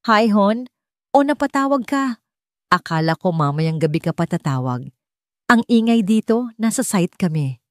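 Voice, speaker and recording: A woman's voice: mid-range voice, lively intonation, normal speed, no room sound, studio quality, pitched above the performer's own voice, natural voice. A woman playing a concerned, affectionate working wife in an audiobook drama, with an anxious, questioning way of talking. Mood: cheerful surprise. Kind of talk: dramatic audiobook character dialogue.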